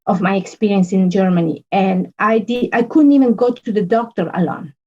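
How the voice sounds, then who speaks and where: A woman, an earnest podcast guest talking in halting phrases full of restarts and fillers. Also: mid-range voice, dark tone, lo-fi sound. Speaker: podcast guest, business consultant. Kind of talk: casual interview conversation.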